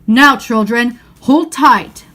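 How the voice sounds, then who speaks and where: A woman's voice: a little high, takes it slowly, pushed and bright. Woman voicing a grave, commanding great lion. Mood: urgent. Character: great commanding male lion, noble and solemn. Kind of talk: storybook reading.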